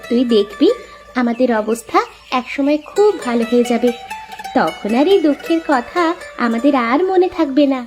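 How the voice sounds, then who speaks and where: A woman's voice: high-pitched, animated, normal speed, darkish tone, faint music behind. A woman voicing a caring, dutiful young village daughter in an earnest, simple way for a moral-story cartoon. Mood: consoling, earnest.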